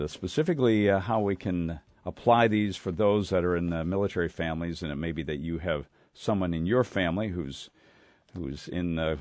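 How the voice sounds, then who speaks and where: A male radio host leads an interview in a very deep voice, pausing often with fillers. The sound is dry and close to the mic. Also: darkish tone, no echo. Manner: halting, many "uh" fillers.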